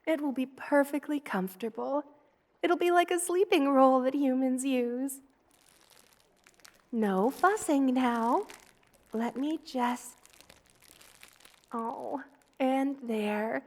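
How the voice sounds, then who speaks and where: A doting monster mother, the queen of spider folk, played by a woman, speaks in a high voice at a very slow, unhurried pace. She is tender and cooing, soothing the listener in the intimate style of an ASMR roleplay. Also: darkish tone. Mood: playful, doting. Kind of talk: ASMR roleplay, personal attention.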